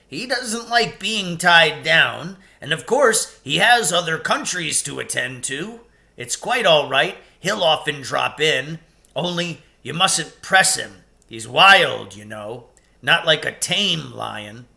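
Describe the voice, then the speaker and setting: A man's voice: a little high, animated, slow pace, vocal fry, bright, forceful tone, heavily acted. A man voicing a kindly male talking beaver from a storybook, homely, reassuring and folksy. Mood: reassuring, knowing.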